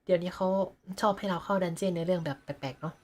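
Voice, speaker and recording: A woman's voice: lowish voice, fairly even intonation, rapid-fire, a little mellow, slight background noise. A woman with a Thai accent livestreaming a game, chatting casually and reading dialogue aloud.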